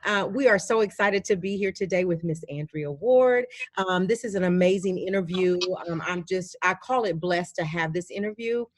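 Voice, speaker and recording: A woman hosting an interview in an effusive, chatty way with many pauses and fillers. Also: on the low side, dry recording. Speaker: host. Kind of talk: warm upbeat interview chat.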